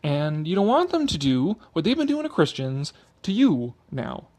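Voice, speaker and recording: A man's voice: on the high side, big pitch swings, normal speed, a little mellow. A man giving a sarcastic, exasperated off-the-cuff commentary, emphatic and freely swearing, a little tipsy. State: tipsy from drinking. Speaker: video commentator.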